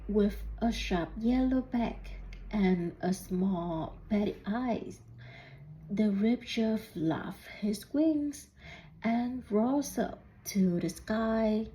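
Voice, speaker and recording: A woman reading a children's storybook aloud in deliberate, halting phrases, putting questions to young listeners. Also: medium pitch, warm tone, a little hiss or hum, rough recording. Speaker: student reading a picture book aloud.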